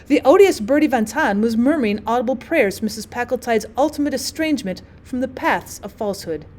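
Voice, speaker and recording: A woman's voice: on the high side, animated, a little fast, darkish tone, slight background noise. A woman reading a classic short story aloud as scripted audiobook narration, like a teacher for her students.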